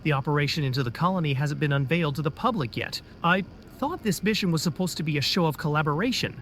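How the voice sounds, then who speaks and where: A man's voice: on the high side, fast, fairly bright tone, slight background noise, natural voice. A man voicing a doubtful young captain who raises anxious, hesitant objections.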